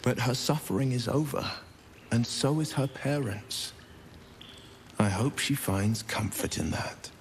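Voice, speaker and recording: A man's voice: mid-range voice, a little slow, a little hiss or hum, close to an everyday voice. An actor playing a solemn, compassionate male adventurer in a video game. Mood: solemn sympathy.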